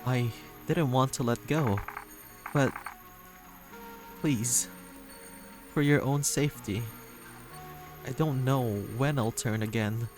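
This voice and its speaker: A man voicing a gentle, insecure young man in a dating game, speaking in a halting, earnest, emotional way. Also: mid-range voice, natural voice. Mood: tender, wistful. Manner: halting, earnest, tender delivery. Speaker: voice actor reading a visual novel. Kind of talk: emotional dramatic game dialogue reading. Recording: music playing under the voice. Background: music.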